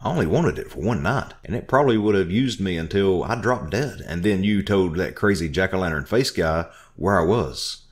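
A man voicing a fed-up young woman who shouts accusations. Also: very deep voice, huge pitch swings, moderate pace, heavily acted. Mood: indignant. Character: angry young woman heroine, fed up and indignant.

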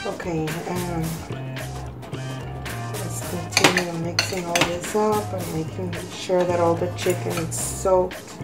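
Female home-cooking host, lowish hoarse voice, unhurried and halting, music behind. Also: flat delivery. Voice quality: slightly hoarse. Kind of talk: casual cooking demonstration. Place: home kitchen, indoors.

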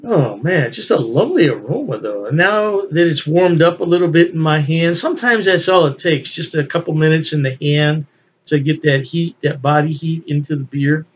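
An older man talks through a beer tasting in a relaxed, folksy way, chuckling to himself as he goes. His voice sits a little high for a man. The webcam sound is somewhat dull and lo-fi. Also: normal speed, husky. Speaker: beer reviewer. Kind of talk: casual solo video review. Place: home office with beer memorabilia, indoors. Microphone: webcam at desk.

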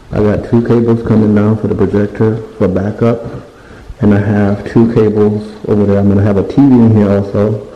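A man walking through his unfinished basement with a handheld phone, talking casually and rambling as he hunts for words and points things out. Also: lowish voice. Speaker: home renovation vlogger. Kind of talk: casual walkthrough narration. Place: unfinished basement room with bare drywall, indoors. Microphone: handheld phone camera. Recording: echoey bare room, dull lo-fi sound.

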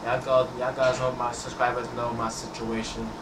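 A man's voice: medium pitch, monotone, moderate pace. A teenage man, rambling casually over gameplay and often restarting his words. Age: teens. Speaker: gameplay channel host. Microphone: headset mic. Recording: echoey, distant, noisy room sound.

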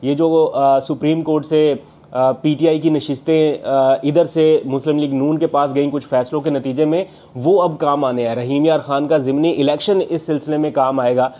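A man's voice: on the high side, fairly even intonation, moderate pace, warm tone. A young man, a political journalist vlogging from his living room on a lapel mic, talking earnestly with many "uh" fillers. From Pakistan. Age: young adult. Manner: earnest analytical talk with frequent uh fillers and hand gestures. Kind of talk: political news vlog. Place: home living room, indoors.

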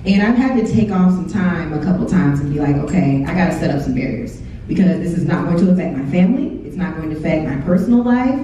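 A young woman from Texas speaks on a panel in a chatty, candid way, often acting out her own thoughts. Her voice is low and mellow. She is heard from across a large, echoey hall, and the sound is a bit dull. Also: lively intonation, fast talker, few pauses, recorded from a distance, soft background music. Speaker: YouTuber on a panel. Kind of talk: casual panel talk. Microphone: handheld mic, heard from a far camera.

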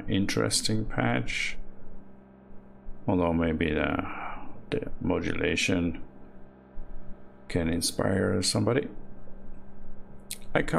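A man slowly talking through his modular synth patch over a screen recording, halting with fillers as he points things out. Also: sits low, creaky, fairly bright tone, a little hiss or hum. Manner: slow, halting explanation with many fillers. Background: synth music playing underneath.

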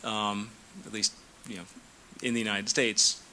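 A man's voice: fairly low pitch, level tone, measured pace, sharp tone, slight background noise, low-quality recording. A middle-aged man, a lawyer on a conference panel, answering questions with many fillers and restarts into a handheld mic. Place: conference room.

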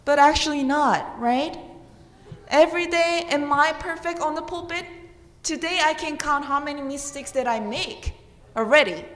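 A woman's voice: high voice, normal speed, fairly bright tone. A woman in her thirties with a Korean accent, preaching a sermon at a church pulpit in an earnest manner with emphatic questions. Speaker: pastor. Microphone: pulpit microphone. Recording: roomy church with long reverb.

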